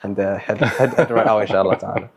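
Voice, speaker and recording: A young man, talking as a guest in a casual interview, relaxed and hesitant, with many fillers and Arabic words mixed in. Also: lowish voice, quick pace, slightly rough. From Somali, born in the Netherlands, raised in England. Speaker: guest, university student.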